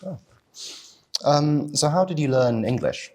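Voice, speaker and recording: A man interviewing a guest, asking short, polite, brisk questions. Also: a little high, lively intonation, darkish tone.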